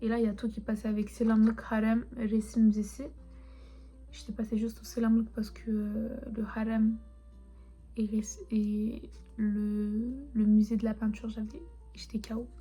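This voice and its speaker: A young woman who grew up speaking Turkish, chatting casually and rambling softly, often half-whispering, as she shows her souvenirs. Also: on the high side, monotone, moderate pace, husky. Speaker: vlogger. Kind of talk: casual chat showing souvenirs.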